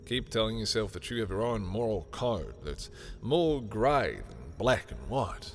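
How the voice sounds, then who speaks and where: A man's voice: on the low side, very animated, unhurried, slight background noise. A man playing a sardonic, petty villain in a scripted audio roleplay, talking in theatrical, sarcastic taunts. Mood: mocking. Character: sardonic, petty male villain, grudgingly familiar with rival.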